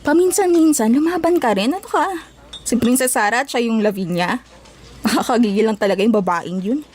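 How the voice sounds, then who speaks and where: A woman's voice: fairly high pitch, fairly quick, darkish tone, close to an everyday voice. An actress playing a feisty, brash young Filipino woman with a blunt, slangy delivery in an audio drama. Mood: breezy, teasing. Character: feisty, brash young woman, combative but loyal friend.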